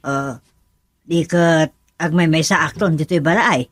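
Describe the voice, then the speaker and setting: A woman's voice: low-pitched, measured pace, dry recording, studio quality. An actress playing a suspicious, nagging older mother in an Ilocano radio drama.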